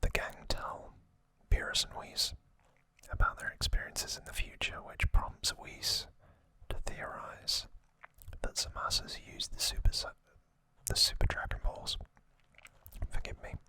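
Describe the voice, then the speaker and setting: A man speaks entirely in a breathy whisper, like an ASMR host walking through a story. The delivery is very slow and deliberate, broken into short soft phrases. The whisper has a very bright, crisp edge. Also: rough recording.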